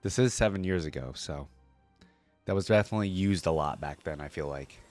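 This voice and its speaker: A man's voice: low-pitched, a little fast, a little mellow, dry recording, soft background music. A man giving casual, conversational reaction commentary, musing aloud as he goes. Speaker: reaction video host.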